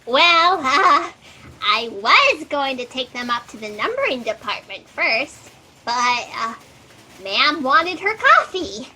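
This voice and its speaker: An actress as an eager, chatty female fact checker in a sci-fi comedy. Her voice is very high and swings around brightly, perky and wordy. There is faint noise in the recording, like a home call. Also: sing-song, slow, heavily acted. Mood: eager, flustered. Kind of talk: comic sci-fi audio drama acting. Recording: noisy home video-call audio.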